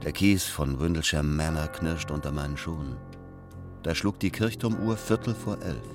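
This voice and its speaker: An actor playing a menacing first-person narrator in a radio drama, measured and coolly ominous. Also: male voice, rumbling low, music in the background. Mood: ominous, controlled.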